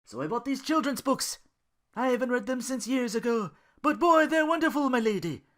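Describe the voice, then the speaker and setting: A podcast host voicing an earnest, devoted, naive young man sidekick, excitable and deferential. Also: mid-range voice, normal speed, voice pushed up, close to an everyday voice. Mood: eager, hopeful. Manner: deferential, excitable, wide-eyed.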